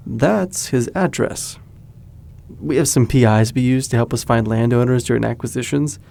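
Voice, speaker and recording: An easygoing young man played by an actor in an audio drama, teasing and protective. His voice sits at a middle pitch with heavy vocal fry, in a natural conversational delivery. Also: moderate pace, warm tone, close to an everyday voice. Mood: reassuring. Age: young adult.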